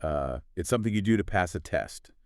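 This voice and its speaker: A man co-hosting a podcast, explaining ideas in a measured, scripted conversational way. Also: low voice, lively intonation, no echo, clean studio sound. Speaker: podcast co-host.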